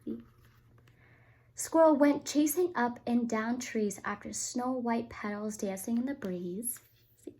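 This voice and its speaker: A woman teacher reading a picture book aloud to young children, expressive and often turning to talk to them directly. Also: on the high side, slow pace, poor audio. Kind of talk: read-aloud storytime with asides to children.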